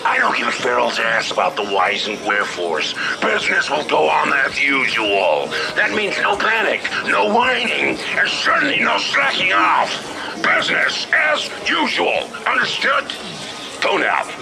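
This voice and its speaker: An actor playing a gruff, sardonic, foul-mouthed district crime boss in a video game cutscene. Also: male voice, a little high, very animated, unhurried, over music, heavily acted, poor audio. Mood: stern, commanding. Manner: sardonic, contemptuous, brusque.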